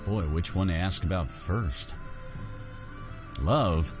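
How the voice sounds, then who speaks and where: A man hosting a gaming roleplay playthrough, narrating in an unhurried way with pauses and musing hums. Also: low voice. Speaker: gaming channel host. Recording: music under the voice. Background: game music.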